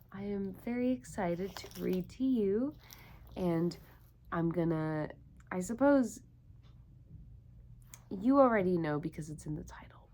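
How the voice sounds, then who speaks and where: A woman's voice: fairly low pitch, darkish tone, a little hiss or hum. A woman doing an interactive storytime for young children, encouraging them with repeated questions. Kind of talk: interactive picture-book read-aloud for young children.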